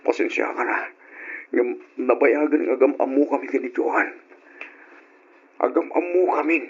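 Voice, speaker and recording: A man playing an apologetic, two-timing young lover in an old Ilocano radio drama, halting and pleading, with an Ilocano accent. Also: medium pitch, breathy edge. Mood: hesitant, guilty. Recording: dull lo-fi old radio recording.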